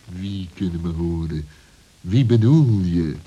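A man voicing a sly, greedy fox in a children's radio play, with a husky, menacing and mocking manner. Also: low voice, lively intonation, takes it slowly, mellow, lo-fi sound, pitched below the performer's own voice, heavily acted. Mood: suspicious, scoffing. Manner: menacing, mocking, swooping delivery with chuckles. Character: sly, greedy, bullying male fox villain.

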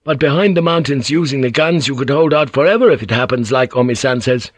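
A man's voice: medium pitch, brisk, dry recording, voice pushed up, lightly acted. A man voicing a weathered one-eyed samurai soldier who speaks plainly and bluntly.

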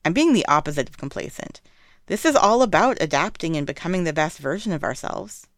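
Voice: medium pitch, animated, brisk, a bit bright, dry recording, studio quality. A reader voicing a playful, talkative young male cultivator who speaks eagerly and persuasively. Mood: breezy. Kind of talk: fan fiction read aloud.

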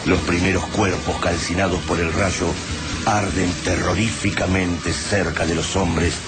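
A man's voice: low-pitched, flat delivery, a little slow. A man reading dramatic scripted narration for a radio drama. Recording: loud music behind the voice.

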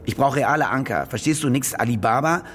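A man's voice: medium pitch, brisk, a bit bright, a little hiss or hum, close to an everyday voice. A man playing a cynical, demanding star war reporter in a naturalistic radio drama, brusque and self-important. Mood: demanding, bossy.

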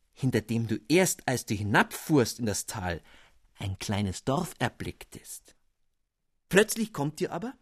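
A male narrator reads an old literary fairy tale aloud for broadcast, speaking straight to the listener. His voice is slightly husky and swings widely in pitch, and the sound is close and dry. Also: dry recording. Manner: expressive storytelling, addressing the reader.

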